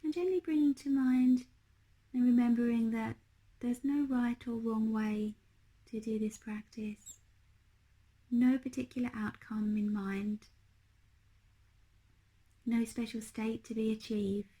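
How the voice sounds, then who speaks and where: Slow, hushed woman guiding a meditation. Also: on the high side, steady tone, husky, low-quality recording. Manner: gentle, unhurried, hushed. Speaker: meditation teacher. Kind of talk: guided meditation narration.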